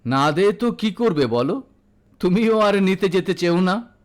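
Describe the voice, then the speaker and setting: A man's voice: high voice, animated, unhurried. Man voicing a proud, defiant young daughter. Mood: firm, pleading. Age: young adult. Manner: earnest, indignant, firm.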